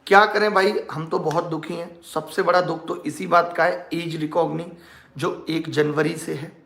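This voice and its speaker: A man's voice: on the high side, fairly even intonation, normal speed, gravelly, fairly bright tone. A man in his thirties, a coaching teacher talking informally to students in a sarcastic, exasperated way, full of rhetorical questions. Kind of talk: informal talk to students with a satirical mock phone call. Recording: roomy echo with music underneath.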